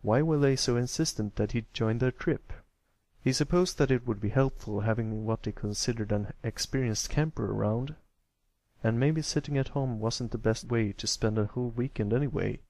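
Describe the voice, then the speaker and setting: Male amateur audiobook narrator, mid pitch, animated story reading, slight fry, dry sound. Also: moderate pace, dry recording.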